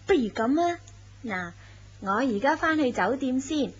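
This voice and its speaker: A woman playing a coaxing, husky mistress. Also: high-pitched, lively intonation, slow, full character voice. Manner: coaxing, teasing. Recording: dull lo-fi recording.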